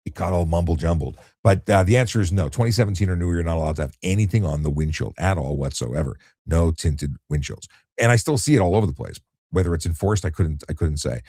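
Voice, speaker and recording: A middle-aged man with a low voice, calmly explaining things in a relaxed late-night live chat as he reads out viewer questions. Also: slightly rough, no echo, studio quality. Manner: calm, explanatory, many restarts and fillers. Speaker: retired traffic police officer, live-stream host.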